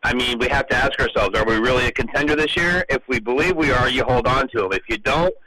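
A man's voice: medium pitch, quick pace, low-quality recording. Guy calling into sports radio w football takes, midrange voice, crowd noise behind him. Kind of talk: casual sports commentary.

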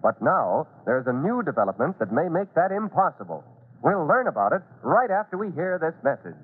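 A man's voice: on the high side, big pitch swings, fairly quick. A man giving dramatic scripted narration as the announcer of a 1940s radio serial. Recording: tinny vintage radio recording, light noise.

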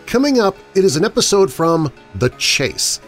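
Lively male podcast host over music. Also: high voice, moderate pace. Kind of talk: scripted narration introducing old-time radio shows. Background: background music.

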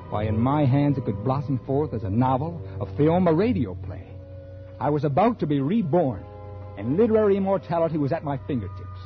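An ambitious American writer, played by a male actor narrates in an old radio thriller. His medium-pitched voice is animated, intense and theatrical. The vintage recording is muffled, with music behind. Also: close to an everyday voice. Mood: feverishly ambitious. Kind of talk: 1940s radio acting, dramatic first-person narration.

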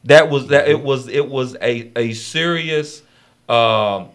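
A man in a loose talk radio discussion at a mid-range pitch. He circles back on his words, repeats phrases, and keeps asking whether people follow him. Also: takes it slowly, fairly bright tone. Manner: rambling and repetitive, keeps asking "you see what I'm saying".

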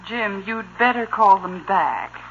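A muffled old radio recording with clear background noise of an American mother. Her voice is mid-pitched, calm and reasonable, gently soothing those around her. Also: slow pace. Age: middle-aged. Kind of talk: 1950s radio sitcom acting.

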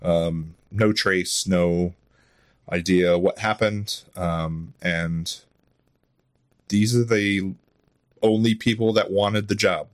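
A man's voice: sits low, steady tone, slow, no echo, clean studio sound. A man chatting casually as a podcast guest, rambling in a self-deprecating, chuckling way. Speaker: podcast guest, audio drama writer and voice actor. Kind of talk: casual interview chat.

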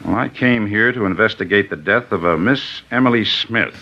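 A steady, probing district attorney, his voice sitting on the low side. The line has the dull, muffled sound of an early-1950s radio crime drama recording. He keeps his interrogation firm and unhurried. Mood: stern. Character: stern male district attorney grilling a suspect.

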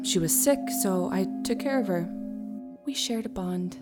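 A woman's voice: medium pitch, unhurried, music in the background, natural voice. An actress playing a poised wealthy woman who keeps a cold, controlled front in a horror radio drama. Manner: prim, tight, controlled.

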